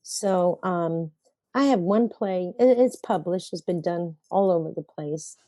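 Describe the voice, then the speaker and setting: A woman's voice: lowish voice, unhurried, dark tone, no echo, clean studio sound. A woman playwright chatting in an interview, telling wry, self-mocking stories from her life. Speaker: playwright guest. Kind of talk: conversational interview.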